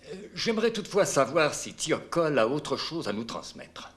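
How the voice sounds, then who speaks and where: A man's voice: on the high side, very animated, moderate pace, fairly bright tone. A man acting a disapproving space agency official in a dubbed docudrama, formal in manner. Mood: appalled.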